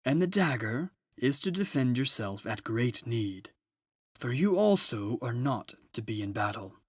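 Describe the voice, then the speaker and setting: A man voicing a big, solemn, kindly old gift-giver in a storybook, with slow, booming, grand proclamations. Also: sing-song, breathy edge, dry recording.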